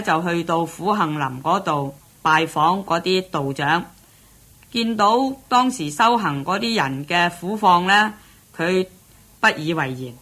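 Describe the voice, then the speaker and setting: A woman's voice: fairly low pitch, fairly bright tone. A woman presenting a programme introduction in a conversational, scripted style.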